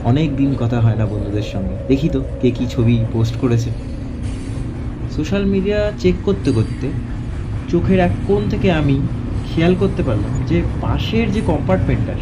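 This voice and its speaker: A man narrating a first-person horror story in a dramatic, suspenseful way, with deliberate phrases broken by pauses. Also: on the high side, animated, heavy background noise.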